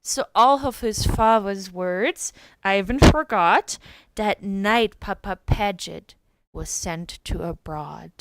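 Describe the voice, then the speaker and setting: A woman's voice: mid-range voice, no echo. A woman voice actress narrating a storybook poem dramatically, with theatrical suspense.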